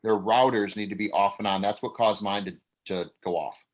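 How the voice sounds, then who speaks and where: A man's voice: fairly low pitch, steady tone, moderate pace. Guy coach running an online group meeting, encouraging, kinda bossing folks along, casual talk. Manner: encouraging, directing. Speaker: acting coach and director leading a group meeting. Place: online video call.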